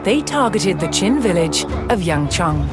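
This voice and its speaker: A woman giving a measured, formal, scripted historical narration in a documentary style. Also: fairly low pitch, big pitch swings. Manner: measured, formal storytelling delivery. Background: music.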